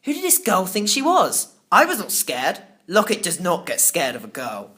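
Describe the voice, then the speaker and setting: A man narrating a children's novel in the first person, expressive and theatrical. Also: sits high, moderate pace. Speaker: audiobook narrator.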